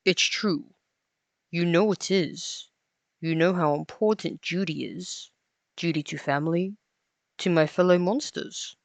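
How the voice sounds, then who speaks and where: A dutiful male monster voiced by a woman speaks slowly and earnestly in a low register. He is solemn and tender, halting with guilt, as in an amateur audio drama. The sound is close and dry. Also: no room sound. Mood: earnest, guilty. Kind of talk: fan fiction audio drama acting.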